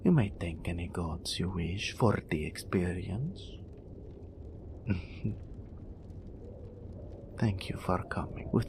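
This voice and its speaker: Male actor for mystical naga oracle, intimate audio drama. Low, gravelly, very unhurried; proud yet tenderly teasing, speaks of himself in third person. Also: noisy background, heavily acted. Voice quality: breathy, whispery, gravelly low voice.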